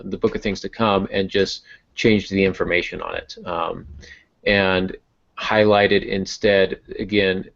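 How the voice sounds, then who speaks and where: A man with a fairly low voice talks in a relaxed, conversational podcast style. He explains things through personal anecdotes as a working writer would. The audio is a little dull and band-limited. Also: creaky. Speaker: novelist and podcast host. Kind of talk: casual podcast talk about writing.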